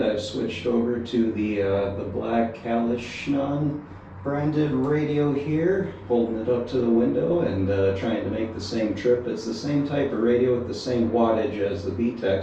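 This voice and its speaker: A man's voice: mid-range voice, measured pace, warm tone, muffled recording. A man narrating a casual radio field test in a plain, matter-of-fact way, recorded inside a small metal tower. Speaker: reviewer testing walkie-talkie radios. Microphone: action camera. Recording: roomy echo, distant mic, faint noise.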